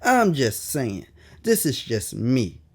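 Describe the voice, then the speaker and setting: A man's voice: mid-range voice, big pitch swings, takes it slowly, darkish tone, husky. A man narrating his own autobiography as an audiobook, in a candid, colloquial storytelling manner with emphatic asides. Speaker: author reading own autobiography.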